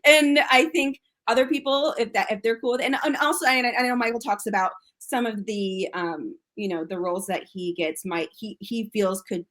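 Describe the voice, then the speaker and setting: Chatty American woman, higher voice. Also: moderate pace, hardly any pauses, fairly bright tone. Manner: chatty, frequent fillers and restarts, laughs often. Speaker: actress, podcast guest. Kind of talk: casual interview chat.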